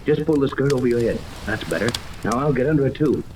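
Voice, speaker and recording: An actor playing an earnest, authoritative ship captain in a 1930s radio adventure, explaining things with urgency. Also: male voice, mid-range voice, animated, quick pace, close to an everyday voice. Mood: urgent. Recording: noisy old 1930s radio, rain-like hiss.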